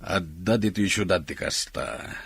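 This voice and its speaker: An actor with an Ilocano accent playing a confident middle-aged city factory boss in a radio drama, assured and persuasive. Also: male voice, low voice, measured pace, fairly bright tone, breathy edge, exaggerated voice. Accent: Filipino, Ilocano.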